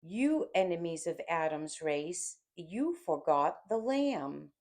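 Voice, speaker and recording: A woman's voice: lowish voice, big pitch swings, slow pace, a little mellow, heavily acted. A woman voicing a solemn, commanding man defiant on the cross, in a dramatic audiobook reading. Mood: stern rebuke.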